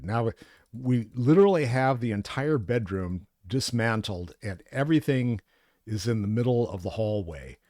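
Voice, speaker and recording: Low-voiced man rambling over gameplay. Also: a little mellow, no room sound, clean studio sound. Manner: rambling, many fillers and restarts. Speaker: co-host playing an online game. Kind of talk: casual gaming chat.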